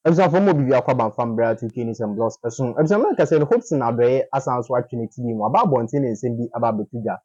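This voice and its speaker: A Ghanaian man with a husky voice delivering a promotional news introduction as a channel announcer. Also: medium pitch, animated, rapid-fire, few pauses, warm tone, no room sound. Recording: dry, dull lo-fi audio.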